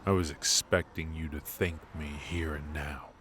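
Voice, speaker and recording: A man playing a ruthless, teasing mafia boss in a noir ASMR roleplay, smug and flirtatious, with drawn-out pauses. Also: a bass voice, steady tone, a bit bright, slight background noise. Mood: smug, mocking.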